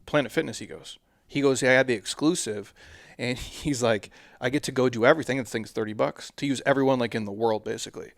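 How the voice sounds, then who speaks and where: Gravelly man in dry casual banter. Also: mid-range voice, fast talker, dry recording. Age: 40s. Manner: laid-back, slangy, joking banter. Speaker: podcast host. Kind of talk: casual chat.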